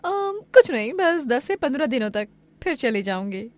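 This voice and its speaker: A woman's voice: high voice, very animated, normal speed, no echo, voice pushed up. A woman voicing a snobbish, envious, scheming female houseguest in a cartoon story, with exaggerated gushing and sneering delivery. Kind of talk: Hindi cartoon moral story acting.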